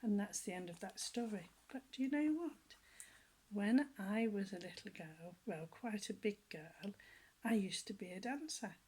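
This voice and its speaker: An older woman with a soft, breathy voice reads a children's story aloud. She tells it gently and lively, sliding into hushed whispers for the exciting parts and asking her small listeners questions as she goes. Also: medium pitch, moderate pace, low-quality recording. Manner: talks directly to young listeners with questions. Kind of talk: children's storybook reading with personal asides.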